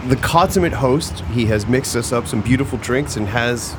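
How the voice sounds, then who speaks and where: A man's voice: mid-range voice, normal speed, noisy background. A man hosting a podcast, chatty and jokey, often finishing his guest's sentences. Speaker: podcast host and guitarist. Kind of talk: casual conversation.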